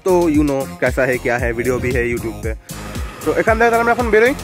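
A man's voice: medium pitch, big pitch swings, fast talker, music in the background. A man talking casually to camera at a roadside, mixing languages. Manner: chatty, switches between Hindi, Bengali and English. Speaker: motovlogger. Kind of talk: casual vlog talk to camera. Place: roadside outdoors in a city. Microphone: action camera on helmet.

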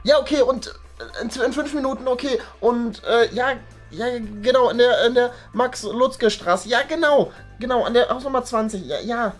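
A young man playing a cheeky, scheming boy in a children's comedy sketch, halting and stammering, with a pitched-up voice. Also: soft background music. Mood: urgent, hesitant.

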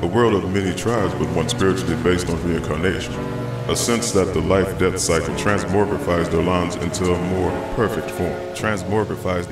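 A man acting a wise alien telepath in a superhero motion comic. His voice is very low, formal and expository, echoing as if from a distance, under loud music. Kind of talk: dramatic comic-book voice acting.

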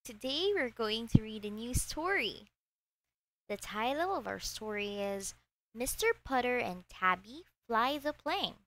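A woman reading a children's book aloud in an expressive storytelling style. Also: a little high, unhurried, slight background noise, low-quality recording.

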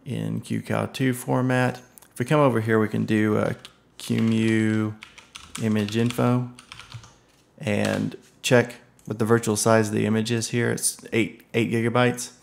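A man with a low voice that has a heavy gravelly fry talks through a software setup at his computer. He is relaxed and unhurried, filling gaps with ums and uhs as he clicks along. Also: a little hiss or hum. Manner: relaxed, many ums and uhs, thinks aloud. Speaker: software tutorial presenter. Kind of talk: casual screen-recorded tech walkthrough.

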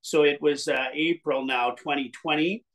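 A man's voice: on the high side, steady tone, normal speed, a bit bright. Older man chatting easily on a video call. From Canada. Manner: rambling, easygoing storytelling with many fillers. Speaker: guest musician. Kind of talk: casual interview chat. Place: cottage room, indoors. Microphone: video call webcam.

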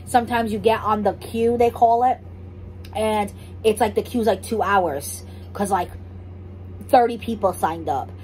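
A woman's voice: medium pitch, a little slow, a little mellow, a little hiss or hum, low-quality recording. A young woman chatting casually to her vlog audience at home, talking loosely with lots of "like" and restarts. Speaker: vlogger. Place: home kitchen.

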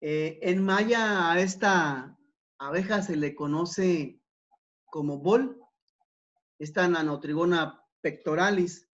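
A man giving an academic talk over slides, unhurried, with many fillers and repeated words. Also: a little high, fairly bright tone. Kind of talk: conference presentation over slides. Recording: dull, lo-fi online talk.

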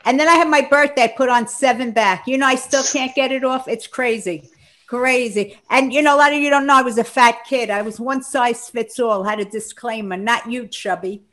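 A woman's voice: a little high, fairly bright tone. A middle-aged woman doing chatty, brash, self-deprecating stand-up over a video call. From the Bronx, New York. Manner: chatty, brash, quick punchlines. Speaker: stand-up comic. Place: home room indoors. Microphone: webcam on a video call.

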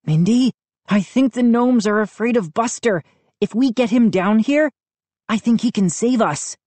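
A woman's voice: fairly high pitch, a little slow, dark tone, no echo, voice pushed up. A woman voicing an earnest, frightened child who pleads urgently in a children's story. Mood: excited hope.

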